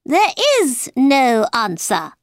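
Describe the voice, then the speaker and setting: A woman's voice: a little high, sing-song, slow pace, dry recording, clean studio sound, pitched above the performer's own voice, heavily acted. A woman voicing an eccentric, irritable man in a children's storybook, blunt and emphatic. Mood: matter-of-fact. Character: Mad Hatter, eccentric irritable man at tea party.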